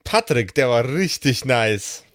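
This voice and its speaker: A man's voice: medium pitch, expressive, slow, no room sound, studio quality. A man narrating an improvised tabletop role-play as game master, in a casual, conversational style. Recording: music behind the voice.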